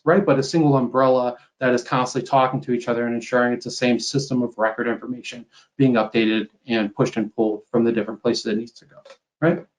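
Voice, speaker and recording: A man gives an informal webinar product demo over a video call, explaining things conversationally and often checking in with a tag question. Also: medium pitch. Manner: explanatory, conversational, tags statements with "right?". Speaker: software company sales executive presenting a webinar.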